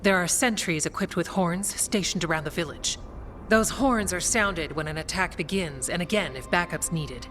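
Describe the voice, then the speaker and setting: Woman acting steady female warden commander, video game, lowish pitch, plain-spoken, matter-of-fact, faint music behind. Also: normal speed, lightly acted, rough recording. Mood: commanding.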